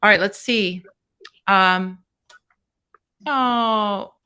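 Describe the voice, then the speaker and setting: Brief: woman host of a live tarot stream, bright voice, chatty and encouraging. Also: medium pitch, drawn-out words, smooth. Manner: chatty and encouraging, thinks aloud while fumbling with the stream setup. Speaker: tarot reader hosting a fundraiser stream.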